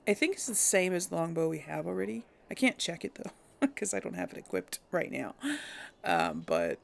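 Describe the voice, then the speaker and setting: A woman's voice: deep voice, big pitch swings, normal speed, gravelly. A woman hosting a casual gameplay video, chatting and thinking aloud with frequent giggles. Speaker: let's play host.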